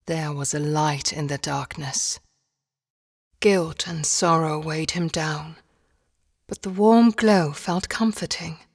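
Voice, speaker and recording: A woman narrating a storybook game in a measured, solemn fairy-tale style. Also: low voice. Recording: long reverb.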